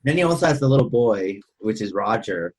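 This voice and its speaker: A man with a fairly low, distinctly husky voice talks casually as a podcast host. His delivery is unscripted and conversational. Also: animated, fairly quick. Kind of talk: casual film discussion.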